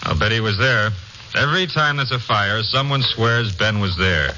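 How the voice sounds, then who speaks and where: A suspicious, superstitious local man in an old radio drama. He has a lowish, very sharp, forceful voice and a blunt, knowing delivery. The recording is muffled and faintly hissy. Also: expressive, normal speed. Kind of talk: 1950s radio drama acting.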